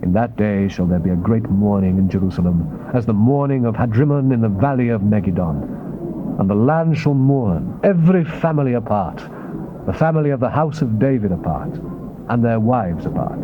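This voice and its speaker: Solemn man, dramatic swooping scripture reading. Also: lowish voice. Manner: solemn, declamatory, theatrical. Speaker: scripture narrator. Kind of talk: dramatized King James Bible reading. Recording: noisy, dull old cassette tape.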